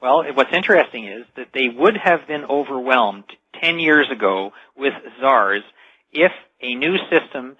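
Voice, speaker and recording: A man's voice: mid-range voice, lively intonation, measured pace, breathy edge, dry recording. A man speaking as a radio show guest, explaining things earnestly in an interview. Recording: slightly dull lo-fi radio call audio.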